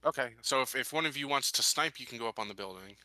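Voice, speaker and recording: A man's voice: medium pitch, animated, quick pace, bright, forceful tone, no echo, rough recording. A man playing a tabletop game, casually planning moves aloud.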